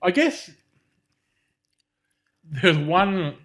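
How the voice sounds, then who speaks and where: A man's voice: high-pitched, sing-song, very slow, a bit bright. A man co-hosting a podcast, chatting casually about films with lots of fillers and restarts. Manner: rambling, many fillers and restarts. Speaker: podcast co-host. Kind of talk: casual film discussion.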